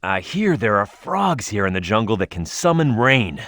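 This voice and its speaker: A tough young male echidna hero from a video game, cocky and quick to flare up. The pitch swings very widely in an emphatic delivery. The recording is close and dry, with no room sound. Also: very animated, a bit bright, no room sound. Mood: curious, alert. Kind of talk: video game character voice lines, tutorial hints and battle shouts.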